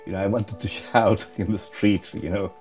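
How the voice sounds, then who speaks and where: A man gives documentary interview testimony. His voice is very deep and dark, and he speaks hesitantly, pausing on fillers and trailing off quietly. Faint guitar music plays behind him. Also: steady tone. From Chile. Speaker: interviewee, former refugee.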